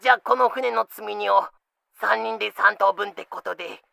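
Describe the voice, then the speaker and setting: A woman's voice: mid-range voice, moderate pace, creaky, bright, forceful tone, no echo. A woman playing an easygoing, put-upon male pirate captain with a casual, placating manner in an audio drama. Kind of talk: fan-made Japanese voice drama acting.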